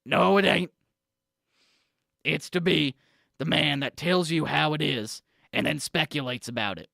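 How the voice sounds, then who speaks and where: A man acting out a brash conspiracy-obsessed correspondent on a comedy radio show, shouting his theories in a loud, pushy rant. The voice sits a little high, with a gravelly, sharp tone and a dry, close sound. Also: measured pace, no echo, exaggerated voice. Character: brash male conspiracy-ranting news correspondent and supplement salesman. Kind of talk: improvised radio comedy bit.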